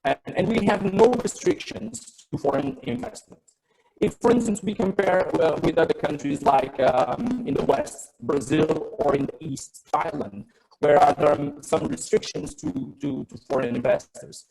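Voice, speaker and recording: A man's voice: fairly high pitch, lively intonation, normal speed, vocal fry, darkish tone, husky, low-quality recording. A man with a Portuguese accent giving a business presentation over a web call, hesitant and often repeating words and phrases. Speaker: investment webinar presenter. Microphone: computer on a web call.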